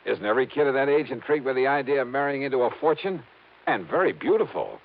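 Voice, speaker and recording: A man's voice: medium pitch, animated, quick pace. An actor playing a wisecracking male insurance investigator in a 1950s radio drama, breezy and wry. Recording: muffled old radio recording.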